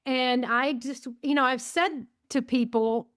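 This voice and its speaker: A woman in her fifties, a guest on a podcast, telling stories with animated shifts in pace and frequent self-quotes. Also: high-pitched, clear, resonant tone, dry recording, studio quality. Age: 50s. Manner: enthusiastic storyteller, quotes herself often, speeds up and slows down. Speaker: guest, gratitude coach and author. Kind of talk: conversational podcast interview.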